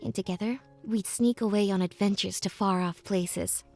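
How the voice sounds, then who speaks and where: Young woman played by an actress, wistful student, first-person game storytelling, reflective delivery, middle pitch, clear music behind. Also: steady tone, moderate pace, lightly acted.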